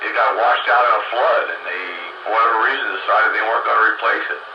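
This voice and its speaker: A man's voice: on the low side, fairly even intonation, roomy sound. Older man on a noisy, muffled radio link. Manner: rambling, halting recollection. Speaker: radio show participant. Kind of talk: casual chat on radio.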